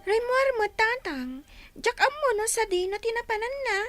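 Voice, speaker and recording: Little dutiful child, piping bright voice, Filipino accent, close dry radio drama sound, faint music. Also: female voice, very high voice, very animated, moderate pace, no echo, exaggerated voice. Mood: obedient. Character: small, dutiful child talking to father.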